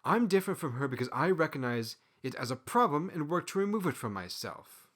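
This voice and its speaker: A man's voice: on the high side, big pitch swings, fairly quick, a bit bright, voice pushed up. Man reading as a self-righteous centuries-old husband. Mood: defensive.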